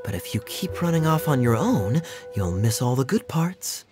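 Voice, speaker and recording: A man voicing a suave, teasing young knight in a video game, smooth and coaxing, over music. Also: mid-range voice, big pitch swings, normal speed, natural voice. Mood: playful, coaxing. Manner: playful, coaxing. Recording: music behind the voice.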